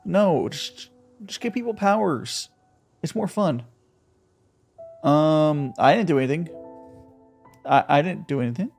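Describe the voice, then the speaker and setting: Joking streamer with lively delivery. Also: mid-range voice, measured pace, long pauses. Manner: joking, playful, rambling asides. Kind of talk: live gameplay commentary. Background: faint game music.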